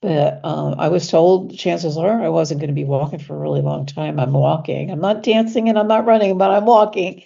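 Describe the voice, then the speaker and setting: A woman with a low, gravelly voice, stuffy from allergies, chatting as a guest on a lo-fi call. Her tone is mellow and husky, with small laughs and drawn-out stressed words. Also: little pitch movement. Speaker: guest coach. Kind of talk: casual interview conversation.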